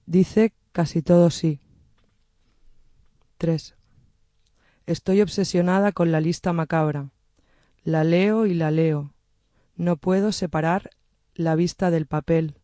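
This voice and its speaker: A speaker reciting a poem in a measured, soft-spoken way. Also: mid-range voice, fairly even intonation, no room sound, studio quality. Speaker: poetry reader. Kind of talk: slow poetry recitation.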